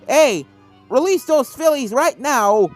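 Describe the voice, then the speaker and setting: A woman's voice: high voice, expressive, takes it slowly, pitched above the performer's own voice. Woman acting hearty old stallion ghost hunter over music. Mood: urgent. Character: old male unicorn stallion, brave kindly professional ghost hunter. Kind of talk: fan fiction story reading. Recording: game music behind the voice.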